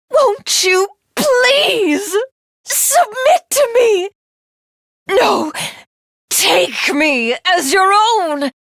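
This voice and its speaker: An imperious alien warlord woman who believes herself divine. Her speech is slow, formal and theatrical, with highly expressive pitch movement. It is a video game character voice in a dry, clean recording. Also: dry recording, exaggerated voice. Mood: desperate, impassioned. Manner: haughty, formal, theatrical, deliberate. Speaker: voice actress.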